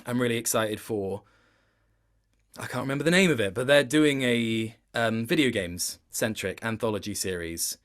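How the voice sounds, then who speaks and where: Man, mid-pitched, voice actor guest, conversational interview, thoughtful explanations, halting phrasing. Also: a bit bright. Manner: reflective, explanatory, stop-start phrasing. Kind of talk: interview chat about performance work.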